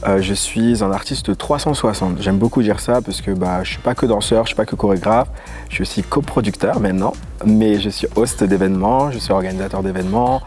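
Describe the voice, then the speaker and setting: A young man from Paris, a dance guest in a casual interview who talks in a lively, playful way with quick asides. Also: lowish voice. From Paris, of Congolese origin. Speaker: guest, voguing dancer and house leader. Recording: faint music underneath.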